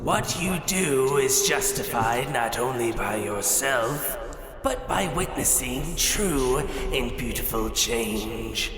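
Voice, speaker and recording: A man playing a macabre, grandiose horror anthology host, giving an ominous, ornate introduction with drawn-out delivery. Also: medium pitch, animated, over music. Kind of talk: theatrical scripted horror introduction. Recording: echoey long hall reverb, crowd noise.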